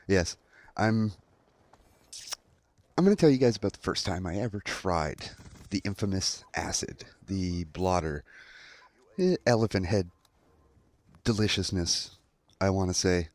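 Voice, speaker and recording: A man's voice: deep voice, measured pace, pauses often, darkish tone, dry recording. A young adult man telling a story in a casual commentary style, acting out quotes as he goes. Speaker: online storyteller.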